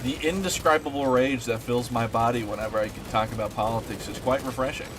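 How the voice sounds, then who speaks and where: A young man chats casually while playing a game, rambling and swearing with plenty of fillers. He sounds a little stuffed up, and his voice sits at an ordinary pitch. A faint noise hums behind him. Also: fast talker. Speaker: gaming streamer.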